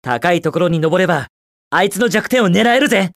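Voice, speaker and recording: A man's voice: sits high, animated, fairly quick, a bit bright, no echo, clean studio sound. A man voicing a cocky, carefree young hedgehog speedster hero in a video game, with a cool, brash, quippy delivery. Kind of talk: Japanese video game character voice lines.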